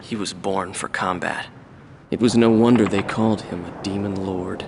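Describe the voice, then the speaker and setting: A man playing a weary, reflective veteran mercenary pilot in a video game, recalling the past in a measured, wistful interview monologue. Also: low voice, steady tone, background noise. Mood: quiet admiration. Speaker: former mercenary fighter pilot being interviewed.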